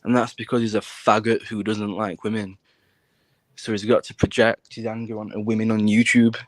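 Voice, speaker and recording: A man's voice: on the low side, flat delivery, normal speed, no room sound. A British man in casual conversation, talking in a blunt, insistent way and swearing freely. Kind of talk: casual chat.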